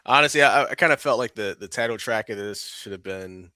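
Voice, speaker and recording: Chill guy on a podcast, kinda low voice but bright, dry close sound, hedges a lot. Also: a little fast, no echo. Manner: laid-back, hedging, trails off and restarts. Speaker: podcast co-host reviewing an album. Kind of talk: casual music review chat.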